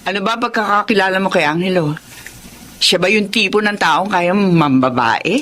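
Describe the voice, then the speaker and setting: Woman acting lively, scolding Filipina big sister. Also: medium pitch, normal speed, slight background noise, natural voice. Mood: scolding, reassuring. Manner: scolding, expressive. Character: exasperated, protective older sister, sharp-tongued. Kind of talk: Filipino radio drama acting.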